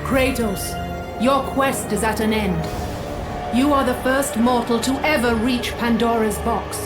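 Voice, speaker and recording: An actress playing a stately, commanding goddess in a video game, speaking with solemn, regal urging. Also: female voice, a little high, unhurried, loud music behind the voice, lightly acted. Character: stately, commanding goddess guiding a mortal hero. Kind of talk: dramatic video game acting.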